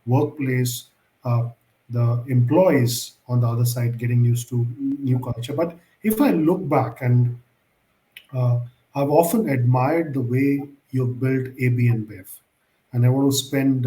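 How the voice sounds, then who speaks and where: A man's voice: medium pitch, fairly even intonation, a little slow, warm tone, echoey room, low-quality recording. A male interview host who asks questions in a formal, elaborate way, often hedging with "I would say.".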